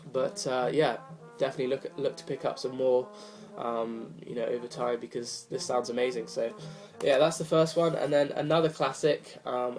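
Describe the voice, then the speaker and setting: A young man talks in a relaxed, wandering way, full of ums and restarts. His voice is slightly rough from a cold. Quiet jazz plays in the background. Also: mid-range voice. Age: young adult. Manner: rambling, apologetic, many fillers. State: hoarse from a cold. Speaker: vinyl collector vlogger. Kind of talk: casual chat to camera. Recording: faint music behind the voice. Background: jazz record playing softly.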